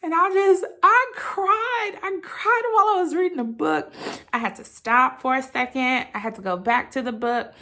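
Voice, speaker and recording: A woman sharing a personal story with listeners in a candid, halting, reflective way, often qualifying what she says and voicing her own thoughts expressively. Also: sits high, bright, forceful tone. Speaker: host of a personal channel.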